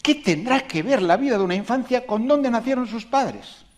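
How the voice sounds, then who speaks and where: A man giving a public talk to an audience on education, animated and emphatic, asking rhetorical questions and making conversational asides. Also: very high-pitched, normal speed. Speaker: invited speaker on education.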